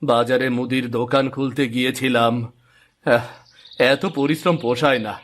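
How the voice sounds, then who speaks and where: A man's voice: mid-range voice, fairly even intonation, a little slow, natural voice. A man voicing a lazy, grumbling farmer in a cartoon moral story, with sighing, drawling complaints. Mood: weary, complaining. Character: lazy grumbling male farmer who trusts in luck.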